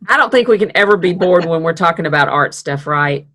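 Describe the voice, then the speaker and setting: Woman, low voice, friendly art instructor on a video call, casual and encouraging. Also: animated, brisk, a bit bright, a little hiss or hum. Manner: chatty, self-deprecating, upbeat. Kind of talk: online art class teaching.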